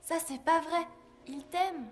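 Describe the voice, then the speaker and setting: A loyal, reassuring young girl in a cartoon. Her voice is very high, and she talks with gentle persuasion. Soft music sits behind her. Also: takes it slowly. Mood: consoling. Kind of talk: animated cartoon dubbing.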